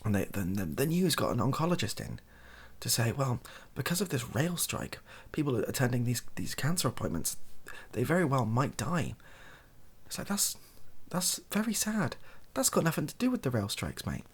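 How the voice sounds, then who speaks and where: A British man speaking softly in a rambling, hesitant, improvised opinion monologue for an online video. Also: medium pitch, big pitch swings, moderate pace, breathy edge. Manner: soft-spoken, hesitant and rambling.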